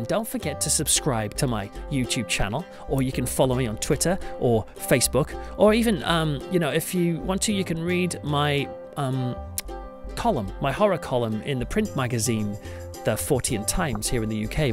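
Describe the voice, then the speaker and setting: A British man hosting a podcast, giving a reflective monologue in a conversational, thoughtful and emphatic way. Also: medium pitch. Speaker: podcast host, church pastor. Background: music under the voice.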